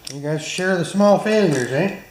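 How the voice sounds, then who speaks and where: A man's voice: sits high, lively intonation, normal speed, a little hiss or hum, low-quality recording. A man hosting a workshop video, chatting casually and joking with viewers while he works in a garage. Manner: chatty, self-mocking, talks to viewers while working.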